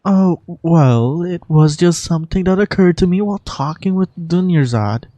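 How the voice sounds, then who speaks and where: An amateur voicing a frank, confident female mercenary from a game, relaxed and assured. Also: mid-range voice, normal speed, dry recording, soft background music, lightly acted.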